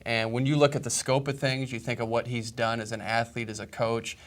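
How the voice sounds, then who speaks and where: A man from Iowa who runs a museum, guesting on an interview, speaking in a steady, proud, informative way. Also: mid-range voice, brisk. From Iowa, USA. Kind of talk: casual interview.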